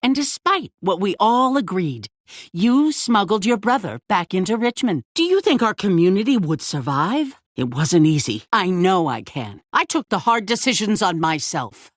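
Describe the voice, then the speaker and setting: An actress playing a calculating, self-righteous leader of a survivor community in a video game, speaking in a measured, condescending, politician-like way. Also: female voice, medium pitch, very animated, dry recording, clean studio sound. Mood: defensive, firm. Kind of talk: dramatic video game voice acting.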